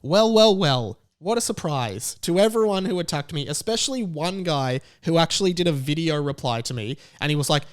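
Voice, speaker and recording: A man with a somewhat higher-than-average voice talks as a solo podcast host. His delivery is conversational but emphatic, laying out opinions with plenty of self-assurance. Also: animated, a little fast, few pauses.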